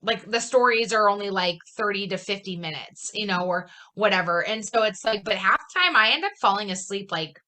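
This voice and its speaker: Bright-voiced woman chatting casually. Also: medium pitch, brisk, hardly any pauses. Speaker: podcast host. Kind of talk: casual chat.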